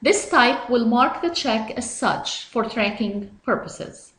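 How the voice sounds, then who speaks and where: A woman reading scripted step-by-step software instructions in a measured, instructional way. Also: fairly high pitch, lively intonation, roomy sound. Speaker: software tutorial narrator.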